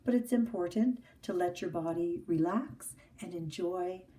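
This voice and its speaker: Woman, teacher, mellow lowish voice, expressive children's read-aloud, recorded from a distance. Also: unhurried. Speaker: teacher reading aloud to her class.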